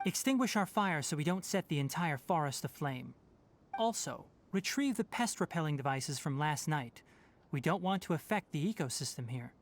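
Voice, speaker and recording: A man voicing a calm, principled young male forest ranger and scholar in a video game, speaking in a measured, thoughtful way. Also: on the high side, a little hiss or hum, close to an everyday voice. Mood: commanding.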